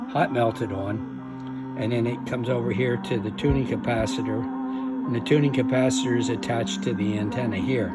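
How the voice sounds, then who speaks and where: Male hobbyist demonstrator, mid-pitched, very slow and hesitant, unscripted, with music playing behind. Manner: halting, hesitant explaining with trailing phrases. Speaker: radio restoration hobbyist demonstrating a restored set.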